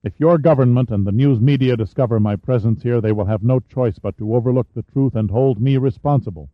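A man's voice: medium pitch, a little fast, no echo, lightly acted. A man voicing an earnest male leader who speaks in a formal, pleading way. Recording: dull, dry studio sound.

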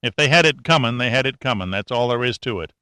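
A man's voice: mid-range voice, animated, fast talker, sharp tone, clean tone, no room sound. A man voicing a cool, wry air force major with a dry, clipped manner, in an audiobook reading.

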